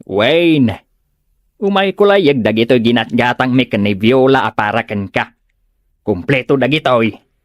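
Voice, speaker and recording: A woman's voice: very deep voice, animated, measured pace, no room sound, studio quality. A woman playing an exasperated, concerned relative who scolds in an Ilocano comedy audio drama. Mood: busy, concerned.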